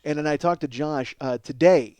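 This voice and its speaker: A man with a somewhat high voice delivers a comedic podcast monologue. He talks casually and swears freely, exaggerating with animated emphasis. He acts out both halves of the conversations he recounts. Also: normal speed, clean tone, dry recording, studio quality. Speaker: podcast host.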